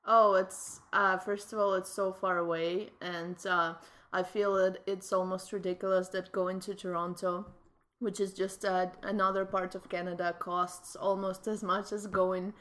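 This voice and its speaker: A woman's voice: mid-range voice, level tone, a little slow, a bit bright. A young woman from Western Ukraine, a native Ukrainian speaker, talking candidly as a guest in a casual interview, with many fillers and restarts.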